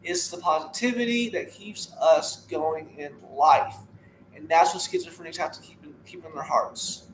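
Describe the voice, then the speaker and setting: A man's voice: fairly high pitch, normal speed, echoey room, slight background noise, low-quality recording. A man in his thirties talking casually as a podcast guest, earnest and repetitive as he gives a motivational message. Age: 30s. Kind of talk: casual interview talk.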